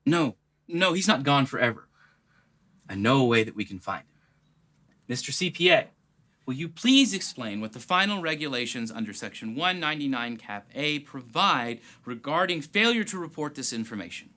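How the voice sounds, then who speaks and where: A man's voice: mid-range voice, very animated, moderate pace, bright, forceful tone. A man voicing an earnest private detective in a comedy sketch, with a theatrical delivery.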